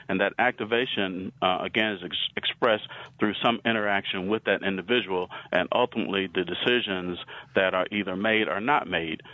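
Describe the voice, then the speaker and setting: A man from Alabama with a Southern accent, giving a slide lecture in a measured way, with many pauses and restarts. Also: on the low side, bright, forceful tone, lo-fi sound. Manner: measured, frequent uh fillers and restarts.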